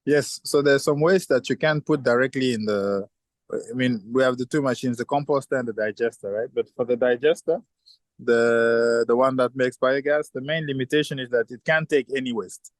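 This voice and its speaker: A man's voice: mid-range voice, normal speed, no echo. A man who is a chemical engineer and founder, answering interview questions thoughtfully with many restarts and fillers. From southern Africa.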